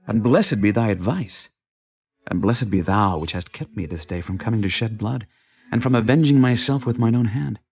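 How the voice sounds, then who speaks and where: Devout warrior leader, swooping declamatory delivery. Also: male voice, on the low side, lively intonation, normal speed, creaky, a little mellow, highs cut off. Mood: grateful, solemn. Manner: solemn, declamatory, emphatic. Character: David, devout, commanding young male warrior leader. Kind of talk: dramatized scripture acting.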